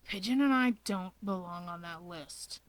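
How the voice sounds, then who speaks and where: Woman voicing a low, deadpan, irritated young man. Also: a little slow, no echo, natural voice, rough recording. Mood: unamused. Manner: flat, dry, threatening. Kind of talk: expressive fan fiction character reading.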